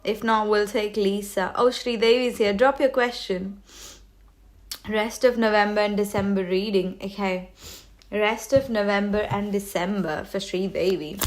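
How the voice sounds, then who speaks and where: A woman's voice: medium pitch, fairly even intonation, moderate pace, low-quality recording. A woman hosting a live tarot reading, talking loosely with many fillers and restarts, a cold coming on. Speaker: tarot reader hosting a livestream.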